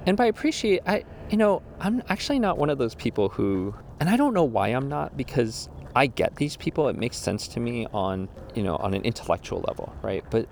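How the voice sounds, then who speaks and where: A man's voice: mid-range voice, very animated, fairly quick, gravelly, few pauses, darkish tone, slight background noise. A man in his forties musing casually about getting older, rambling with restarts and quoted asides. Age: 40s. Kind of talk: casual reflective monologue.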